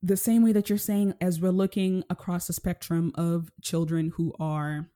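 Gentle rambling woman from Kenya. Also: lowish voice, level tone, moderate pace. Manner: gentle, rambling, frequent "like" and "you know". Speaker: podcast host. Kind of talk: casual interview.

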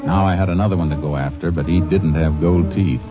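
An actor playing a terse, determined Texas ranger who also narrates in a 1940s radio drama. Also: male voice, low-pitched, brisk, close to an everyday voice. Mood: narrating. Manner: terse, dogged. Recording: muffled old radio broadcast with music.

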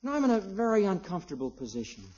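A man's voice: high voice, big pitch swings, fast talker, a little mellow. An actor playing an earnest, thrifty, preachy young American man in a 1950s radio comedy, speaking politely. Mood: sheepish, earnest. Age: young adult. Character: earnest, thrifty, priggish young man running household budget. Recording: muffled old radio recording.

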